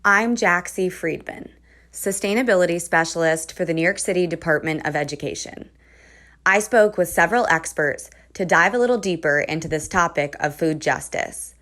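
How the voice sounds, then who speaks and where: A woman's voice: lowish voice, moderate pace, fairly bright tone. A woman who works as a sustainability specialist, giving a scripted, polished introduction as the interviewer.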